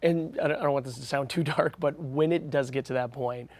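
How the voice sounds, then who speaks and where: A man's voice: medium pitch, steady tone, fairly quick, darkish tone, no room sound. A male radio host with a slightly scratchy voice, asking rambling, enthusiastic questions. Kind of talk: casual interview chat. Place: indoor radio studio.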